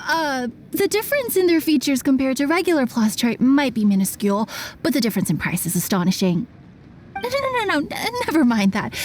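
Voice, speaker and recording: A woman's voice: fairly high pitch, darkish tone, a little hiss or hum. A woman playing a friendly, earnest project organizer in a fantasy video game, speaking politely as she explains. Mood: sheepish, flustered.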